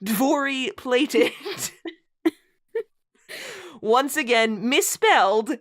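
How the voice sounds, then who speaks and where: A woman's voice: sits high, sing-song, drawn-out words, a bit bright. A woman reading a story aloud in a dramatic style, giggly and adding amused asides. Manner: giggly, often breaking into laughter while reading. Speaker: reader of a bad fantasy story.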